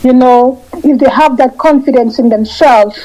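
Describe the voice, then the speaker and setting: A woman in her fifties, a guest on a radio interview, talking in a halting way, often repeating and restarting her phrases. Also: high voice, mellow, low-quality recording. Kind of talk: casual radio interview chat.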